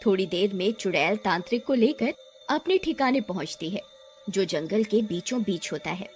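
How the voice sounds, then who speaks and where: A female storyteller reads a scripted moral tale at a medium pitch. Background music runs under her narration. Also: lively intonation, moderate pace.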